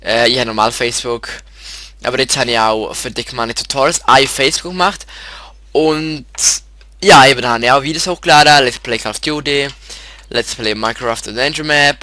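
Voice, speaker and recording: A man with a Swiss German accent, hosting his own channel and walking viewers through his pages in a halting, rambling way, stuffy with a head cold. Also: mid-range voice, pushed and bright, no room sound. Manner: halting, rambling, many restarts and fillers. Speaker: YouTube channel host. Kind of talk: casual screen-share info video.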